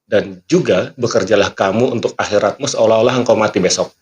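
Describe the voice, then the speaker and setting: A man hosting a motivational podcast, teaching earnestly and quoting scripture and sayings, often clearing his throat while he talks because he is not fully well. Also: lowish voice, a little fast. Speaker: magician and motivational speaker. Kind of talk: motivational podcast talk with a magic trick demo.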